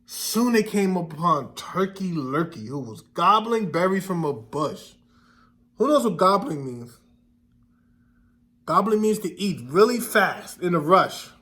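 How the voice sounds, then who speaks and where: Male storybook reader: high pitch, lively intonation, expressive, explanatory classroom delivery. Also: unhurried. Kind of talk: children's read-aloud narration with questions to listeners.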